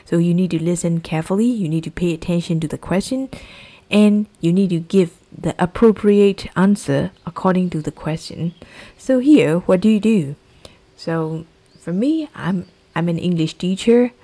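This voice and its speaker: A Burmese-accented woman in her thirties teaches an English exam lesson in a lowish, warm voice. She says key phrases slowly and repeats them so the class can copy them. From Myanmar.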